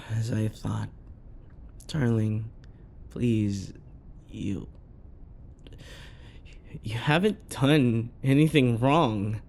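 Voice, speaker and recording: A man playing an unhinged, possessive yandere predator lover in ASMR roleplay, often slipping into breathy whispers and a menacing croon. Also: on the low side, extremely slow, pauses often, darkish tone, slight background noise, full character voice. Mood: wounded, pleading.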